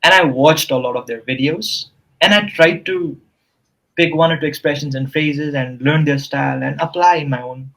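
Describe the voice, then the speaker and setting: A man's voice: a little high, normal speed, creaky, fairly bright tone, rough recording. A man whose first language is Nepali, talking as a guest English tutor in a casual interview. He explains things earnestly and often checks in with "you know" and "right?".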